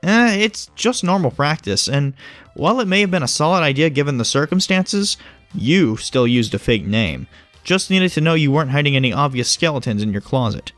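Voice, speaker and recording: A man's voice: fairly high pitch, sing-song, moderate pace, a bit bright, no room sound, soft background music. A man playing a breezy, glib agent, dry sound. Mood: casual, smug. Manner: casual, glib, explanatory. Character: breezy, smug male agent who knows hidden things. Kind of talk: audio drama dialogue.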